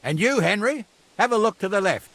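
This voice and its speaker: A man's voice: high-pitched, brisk, no echo, exaggerated voice. An actor playing a bossy, hot-tempered medieval man in command, in a video game. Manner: imperious, animated, commanding.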